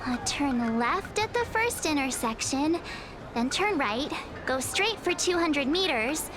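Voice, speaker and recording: A woman playing a cheerful, excitable young girl traveler in a video game, with a bubbly, chatty and expressive delivery. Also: sits high, slow, music in the background, exaggerated voice. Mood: surprised.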